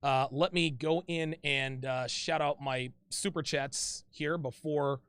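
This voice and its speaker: A man with a fairly high voice hosts a call-in advice show in a loose, chatty way. He repeats words, restarts his sentences and keeps calling people "dude". The sound is close and dry, with no room around it. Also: steady tone, takes it slowly, fairly bright tone, clean tone, no room sound. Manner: casual, repeats himself, calls people "dude".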